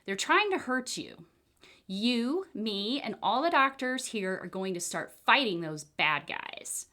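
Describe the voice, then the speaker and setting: A woman's voice: a little high, sing-song, takes it slowly, sharp tone, exaggerated voice. A woman voicing a confident, reassuring female monkey doctor in a storybook, explaining things simply to a child. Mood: earnest, encouraging.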